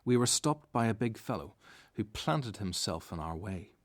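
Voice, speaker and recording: A man's voice: fairly low pitch, very animated, moderate pace. An actor playing a reflective disciple who narrates his story in first person for a radio play.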